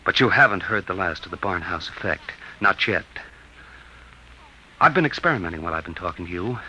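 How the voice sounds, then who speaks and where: An actor playing a sombre, resolute man dictating a farewell report in a 1950s radio drama, deliberate and confiding. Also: sits low, pushed and bright, exaggerated voice. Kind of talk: 1950s radio drama acting, first-person narration. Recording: noisy old radio broadcast recording.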